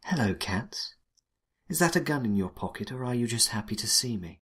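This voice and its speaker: A man voicing a sultry, teasing female outlaw in a noir detective drama, coy and unhurried. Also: medium pitch, expressive, a bit bright, slightly rough. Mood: flirtatious, teasing.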